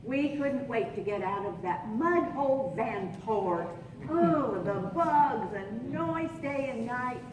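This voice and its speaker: An actress playing a plain-spoken working-class wartime wife in a folksy, deliberate way for a radio play. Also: female voice, lively intonation, crowd noise. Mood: chatty, earnest. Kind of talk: 1940s radio play acting. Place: stage of an opera house, live show. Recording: roomy hall, distant mic, rumbling noise.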